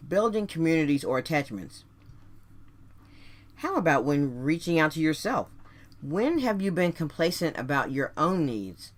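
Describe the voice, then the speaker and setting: A woman hosting a radio show, delivering a scripted motivational monologue straight to listeners in deliberate, emphatic phrases with rhetorical questions. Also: sits low.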